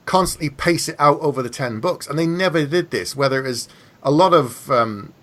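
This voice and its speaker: A man's voice: a little high, expressive, a little fast, a bit bright. A man chatting casually on a book podcast, opinionated and conversational, acting out little imagined exchanges to make his points.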